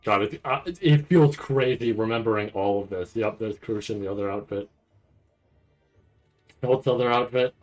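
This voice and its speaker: A man doing casual livestream reaction commentary, rambling and stammering over repeated words with a nervous, excitable manner. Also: lowish voice, moderate pace, faint music behind. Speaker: streamer reacting to a live anime event.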